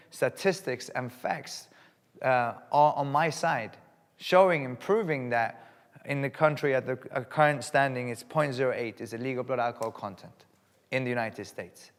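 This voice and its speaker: A man from Sweden giving an earnest, emphatic personal speech to a live audience, repeating phrases for weight. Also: mid-range voice. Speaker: live event speaker. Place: event hall. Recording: long hall reverb.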